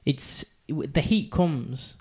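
A man's voice: fairly high pitch, expressive, drawn-out words, a little mellow, husky. A British man co-hosting a podcast, chatting in a rambling way and swearing freely. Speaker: podcast co-host. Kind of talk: casual chat.

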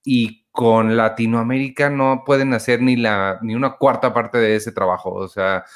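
A man hosts a relaxed podcast chat about movies, drifting along with fillers and restarts. His voice sits at an ordinary middle pitch. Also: moderate pace, clean tone. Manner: relaxed, chatty, filler-heavy. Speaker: podcast host. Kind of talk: casual film review chat.

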